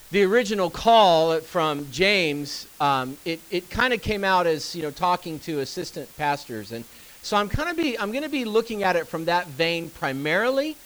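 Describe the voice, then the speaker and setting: A man's voice: a little high, fairly bright tone, poor audio. A man, an assistant pastor telling a folksy story in a talk to church staff. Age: 50s. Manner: folksy storytelling with fillers and restarts. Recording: faint hiss.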